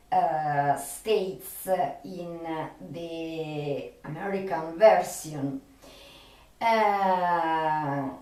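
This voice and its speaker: Very slow, halting non-native woman, echoey. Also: mid-range voice, a little mellow. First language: not English. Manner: very halting, searching for words. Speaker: video channel host. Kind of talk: unscripted talk to viewers about a favorite film. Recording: roomy echo, distant microphone.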